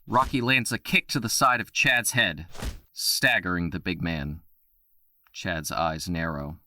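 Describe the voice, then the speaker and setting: Bright-toned male narrator. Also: sits low, measured pace, a little hiss or hum. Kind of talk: scripted narration of action.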